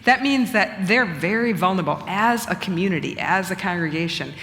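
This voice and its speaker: A middle-aged woman bishop talks in an earnest, measured manner. She thinks aloud, often restarting and rephrasing her thoughts. Her voice rings with a long reverb in a church hall. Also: fairly low pitch, expressive, fairly bright tone, slight background noise. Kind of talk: conversational church talk. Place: church sanctuary.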